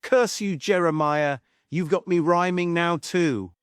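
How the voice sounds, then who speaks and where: A man's voice: a little high, measured pace, smooth, no room sound, clean studio sound, lightly acted. A man playing a sardonic, meddling, flamboyant showman in a comic stage play table read. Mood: exasperated, theatrical. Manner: theatrical, wry.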